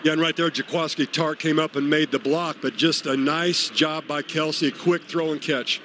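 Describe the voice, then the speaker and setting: Man, American, moderately high voice, conversational football analysis, explanatory, live radio, faint background noise. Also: steady tone, moderate pace, fairly bright tone. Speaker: radio colour commentator.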